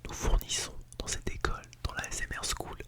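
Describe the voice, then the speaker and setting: ASMR performer for a classroom role-play: fully whispered, breathy, gently instructive with light playfulness, close-miked in binaural. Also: a little fast. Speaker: ASMR artist role-playing as a teacher at an ASMR school. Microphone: close Blue Yeti microphone in binaural mode.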